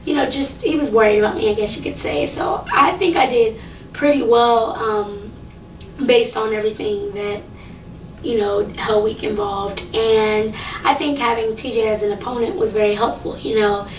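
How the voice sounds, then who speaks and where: A young woman rambles through a casual talk to camera, full of fillers. Her voice sits fairly high for a woman but carries a light rasp. It was recorded a little way off the mic, in an echoey room. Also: steady tone, normal speed, far from the mic, a little hiss or hum. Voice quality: low, slightly raspy. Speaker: singing contestant.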